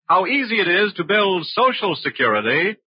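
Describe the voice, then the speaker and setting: A man announcing on 1940s radio, formal and declamatory, delivering program titles and sponsor pitches on a vintage broadcast recording. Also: sing-song, normal speed, breathy edge, no room sound, lo-fi sound. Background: prominent music.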